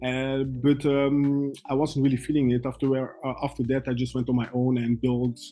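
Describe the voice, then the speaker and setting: Mellow-voiced young man from Belgium. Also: medium pitch, monotone, faint music behind. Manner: halting, many restarts and fillers, second-language English. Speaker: guest forex trader. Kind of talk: casual interview chat.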